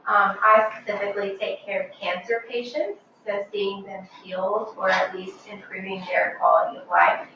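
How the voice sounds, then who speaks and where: A woman in the audience, soft-spoken, briefly answering the lecturer's question as a clinician. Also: medium pitch, sing-song, moderate pace. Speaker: seminar participant, clinician. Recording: distant, echoey, dull with hiss.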